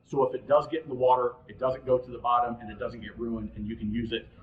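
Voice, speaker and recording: A man's voice: mid-range voice, flat delivery, fast talker, narrow, tinny audio, a little hiss or hum. A man hosting an informal instructional video, explaining things step by step and speaking directly to the viewer as an instructor. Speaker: boating instructor, host.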